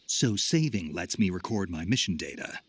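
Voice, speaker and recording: A very low, husky American soldier. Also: male voice, animated, normal speed, a bit bright, dry recording, full character voice. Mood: dry, guarded. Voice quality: gravelly, husky. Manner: terse, wry. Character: gruff, sardonic male American special forces soldier. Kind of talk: video game cutscene acting.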